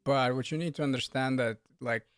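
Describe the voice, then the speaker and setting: A man of medium pitch hosts a casual livestream. He talks in a rambling, stop-start way, restarting phrases, with a serious, matter-of-fact manner. The recording is dry and close. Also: steady tone, no echo. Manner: halting, rambling, often restarts and interrupts. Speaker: livestream host, coding instructor.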